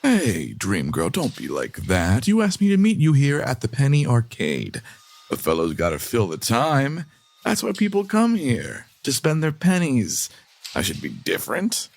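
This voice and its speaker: An actor playing an amused, smitten lowlife plotting murder in an old-time radio comedy drama, with a flippant, effusive manner. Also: male voice, huge pitch swings, a little slow, creaky, exaggerated voice.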